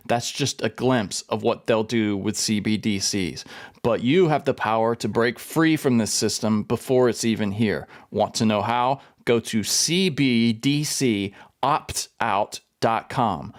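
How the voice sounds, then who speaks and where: A man reads a sponsor ad in an urgent, persuasive style. His voice sits at a medium pitch, plain and clear. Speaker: ad announcer. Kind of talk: read sponsor ad.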